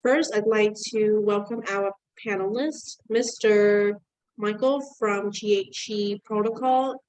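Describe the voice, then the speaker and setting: A woman's voice: mid-range voice, slow, darkish tone, no room sound, rough recording. Woman, event host, formal intro, dry close sound.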